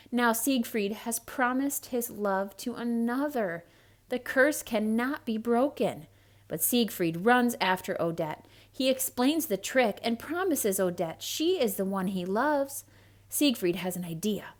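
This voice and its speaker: A woman with a mid-pitched voice performs a children's read-aloud. Her delivery is theatrical and expressive, shaped for young listeners, with hushed asides woven into the storytelling. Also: unhurried.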